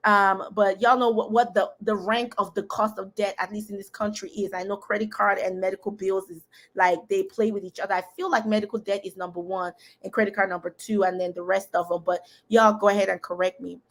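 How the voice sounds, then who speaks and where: A woman's voice: medium pitch, level tone, brisk, few pauses. A woman hosting a live discussion, talking casually and emphatically, laughing often and addressing her audience directly. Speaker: host.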